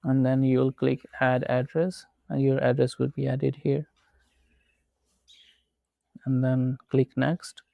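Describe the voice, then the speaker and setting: A man from Pakistan, not a native English speaker, narrating a screen walkthrough with slow, methodical step-by-step instructions. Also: medium pitch, little pitch movement, vocal fry, no room sound, phone-quality sound. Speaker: tutorial presenter.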